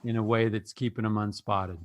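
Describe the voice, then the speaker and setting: A man hosting a casual group conversation, speaking earnestly and thoughtfully with halting phrasing. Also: on the low side, level tone, slight background noise. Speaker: podcast host.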